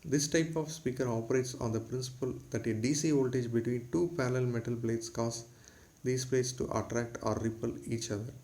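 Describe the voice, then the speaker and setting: A man's voice: medium pitch, steady tone, brisk, dark tone. A man giving a formal, scripted lecture, read aloud in a textbook-like way.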